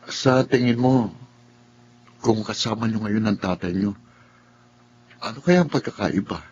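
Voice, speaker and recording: A man's voice: on the low side, measured pace, darkish tone, lightly acted. A man acting a brooding, reserved student son in a Filipino radio drama. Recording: dull audio with faint background noise.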